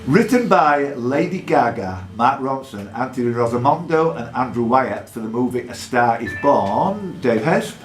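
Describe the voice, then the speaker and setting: A man's voice: medium pitch, lively intonation, a little slow, hardly any pauses. Echoey male pub quizmaster. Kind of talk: live pub quiz hosting, reading questions aloud. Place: indoor pub. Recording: echoey pub room with background noise.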